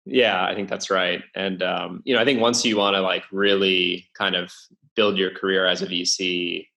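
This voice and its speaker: Young male guest, low and gravelly, halting with lots of ums. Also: fairly even intonation, bright, forceful tone. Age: young adult. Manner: hesitant, many fillers and restarts. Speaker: podcast guest, venture investor. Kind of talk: casual interview talk.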